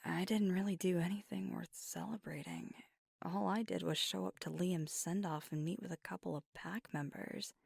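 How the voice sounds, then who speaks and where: Woman acting a hushed, gravelly, weary werewolf girlfriend. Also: lowish voice, fairly even intonation, no room sound, studio quality, heavily acted. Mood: self-deprecating, deflecting. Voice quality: breathy, husky. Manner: hushed, halting, confiding. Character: fiery young female werewolf, weary, guarded, tender girlfriend. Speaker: ASMR roleplay performer. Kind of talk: ASMR roleplay, intimate scripted monologue.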